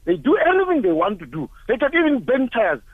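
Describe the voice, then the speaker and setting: A man's voice: very high voice, wildly swooping pitch, fairly quick, breathy edge, no room sound. A man in his forties from Zimbabwe, calling in to a talk show and speaking with anger through repeated rhetorical questions. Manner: impassioned, angry, repetitive rhetorical questions. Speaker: caller. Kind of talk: emotional call-in comments. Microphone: phone. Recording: tinny phone line.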